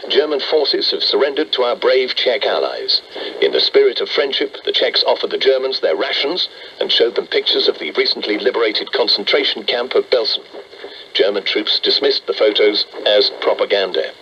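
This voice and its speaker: A man's voice: lowish voice, animated, normal speed, dull audio. A British man reading a scripted radio news bulletin in a 1940s style. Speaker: radio newsreader. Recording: noisy band-limited medium wave radio.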